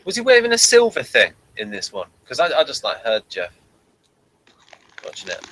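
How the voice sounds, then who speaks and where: A man's voice: mid-range voice, huge pitch swings, moderate pace, pushed and bright, no room sound, low-quality recording. A man hosting a casual, unscripted review chat, steering it along in a hesitant, trailing way. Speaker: host of review show.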